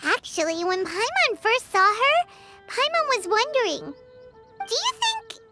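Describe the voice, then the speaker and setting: Squeaky, very high-pitched little companion. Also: female voice, big pitch swings, a little slow, exaggerated voice. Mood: curious. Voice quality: squeaky, piping. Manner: exclamatory, refers to self in third person. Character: small floating childlike female companion, excitable and bossy. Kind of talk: video game cutscene voice acting. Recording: music behind the voice. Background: game music.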